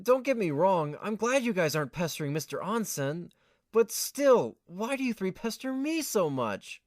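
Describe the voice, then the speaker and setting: A man's voice: high-pitched, big pitch swings, moderate pace, no room sound, studio quality. A man playing an earnest, put-upon young student in an amateur audio play, given to exasperation and sarcasm. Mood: sarcastic. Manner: chatty first-person narration turning to whiny protest. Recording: loud hiss and rain over voice. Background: rainstorm sound effects.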